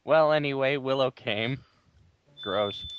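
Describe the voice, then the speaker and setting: A man bantering casually and reading fan fiction aloud in a deliberate, wry way. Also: mid-range voice, highs cut off, slight background noise. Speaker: player in a dramatic group reading game.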